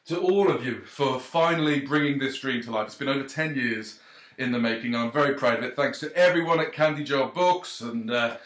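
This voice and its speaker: A man's voice: medium pitch, fairly quick, fairly bright tone. Chatty man in an echoey room. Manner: chatty, hesitant, many ums and uhs; self-deprecating, jokey asides. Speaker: author. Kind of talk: casual direct-to-camera thank-you and book reading. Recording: roomy echo.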